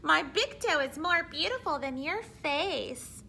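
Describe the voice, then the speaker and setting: A woman's voice: high-pitched, animated, takes it slowly, creaky, sharp tone, a little hiss or hum, pitched above the performer's own voice, heavily acted. A woman voicing a vain, boastful female opera star bickering in a picture-book cartoon. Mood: insulting. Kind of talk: acted picture-book dialogue.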